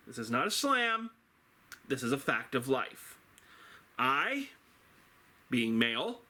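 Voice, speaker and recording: A middle-aged man telling an anecdote like a drama teacher, theatrical and wry, with dramatic pauses and emphatic delivery. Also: on the high side, sharp tone. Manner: self-deprecating, wry.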